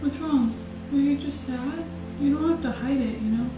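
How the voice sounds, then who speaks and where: A young woman speaks in a high, concerned, coaxing voice. She is picked up from across a very echoey room at home. Clear music plays behind her. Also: normal speed, recorded from a distance. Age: young adult. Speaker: co-host, fiancée. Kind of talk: casual conversation. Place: house interior. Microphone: far camera.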